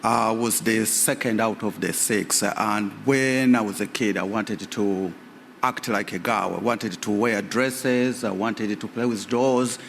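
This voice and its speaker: A man's voice: fairly low pitch, fairly even intonation, unhurried, vocal fry, slight background noise. A man from Uganda, a guest in a live radio interview, telling his personal story hesitantly, with many fillers. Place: radio theatre stage. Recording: reverberant live theatre.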